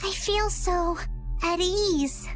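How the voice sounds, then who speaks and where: A woman voicing an earnest, expressive, polite adventurer girl in a video game cutscene. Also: very high-pitched, extremely slow, faint music behind, heavily acted. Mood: awed, calm.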